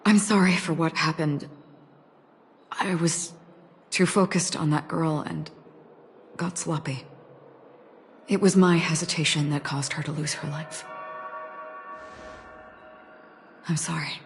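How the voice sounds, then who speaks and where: An actress playing a somber, guilt-burdened swordswoman in a video game, a grave mentor who speaks in a measured, solemn way. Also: deep voice, steady tone, slight background noise. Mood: remorseful.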